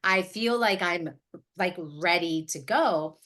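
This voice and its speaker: A woman's voice: lowish voice, slow. A woman in her late thirties hosting a podcast, talking casually and reflectively, working through her thoughts aloud at length. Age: late 30s. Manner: self-reflective, thinking out loud, wordy. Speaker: podcast host.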